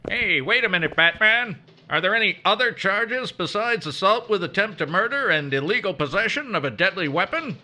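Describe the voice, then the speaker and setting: A man playing a brisk, inquiring police captain in a comedic radio play. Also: sits high, lively intonation, bright, forceful tone, heavily acted. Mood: businesslike.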